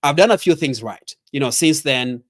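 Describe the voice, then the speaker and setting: A middle-aged man with a Kenyan accent speaks at an average pitch. He delivers a motivational training talk as a leadership coach. He stresses and repeats his key points and speaks straight to the listener. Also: expressive, moderate pace.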